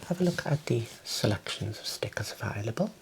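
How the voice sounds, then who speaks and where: A man in his thirties whispering gently and soothingly in an ASMR roleplay as an optician, close to the mic in a small room. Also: mid-range voice, expressive, brisk, slight background noise, poor audio. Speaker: ASMR performer playing an optician.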